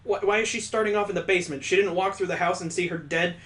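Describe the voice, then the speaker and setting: A man's voice: sits high, fast talker, fairly bright tone, roomy sound. A man reading a visual novel aloud, mixing dramatic narration with sarcastic comic asides. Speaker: let's play host.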